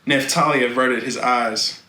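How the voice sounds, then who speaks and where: A man narrating a children's novel in a deliberate, dramatic, expressive reading style. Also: mid-range voice. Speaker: storybook reader. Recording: echoey room, faint background noise.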